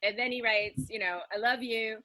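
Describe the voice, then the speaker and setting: A woman actor casually talking through ideas, telling things in an animated way. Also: fairly high pitch, normal speed, no room sound, poor audio. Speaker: improv audio drama actor. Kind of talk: casual creative discussion.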